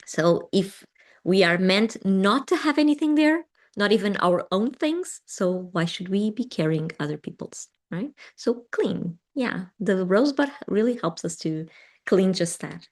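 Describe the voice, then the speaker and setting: A woman who is not a native English speaker leads a live meditation session. She answers chat comments gently, with frequent small laughs and pauses. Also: mid-range voice, animated. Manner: gentle and affirming, laughs often, with pauses and ums. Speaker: meditation teacher.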